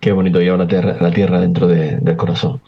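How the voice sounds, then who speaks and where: Male radio host, easygoing interviewer with a lowish voice, muffled recording. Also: little pitch movement, quick pace.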